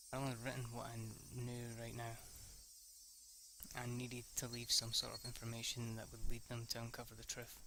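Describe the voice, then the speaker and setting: A young man reading a visual novel aloud in the first person, halting and correcting himself, with casual swearing now and then. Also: mid-range voice, slight background noise, low-quality recording. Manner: breaks off with casual swearing asides. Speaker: amateur reader voicing a visual novel. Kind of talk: casual first-person read-through of game text. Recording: loud game music behind voice.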